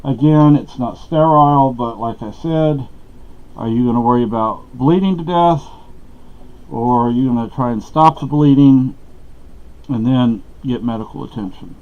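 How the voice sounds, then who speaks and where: Middle-aged man from Texas, chatty and meandering, recorded in a dark room on a dull-sounding camera mic. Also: medium pitch, normal speed. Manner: meandering, many fillers and restarts. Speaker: vlogger. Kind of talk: rambling casual talk to camera. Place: small dark room at home.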